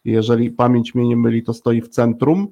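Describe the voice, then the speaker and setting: A man's voice: medium pitch, level tone, moderate pace, mellow, dull audio. A man hosting a conversational interview, asking long, hesitant questions as he feels his way to the point. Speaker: podcast host interviewing guests.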